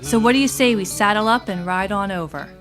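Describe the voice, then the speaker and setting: A woman's voice: mid-range voice, fairly quick, over music, lightly acted. A woman playing an inquisitive, easily exasperated amateur philosopher in scripted comedic radio theatre. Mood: suggesting.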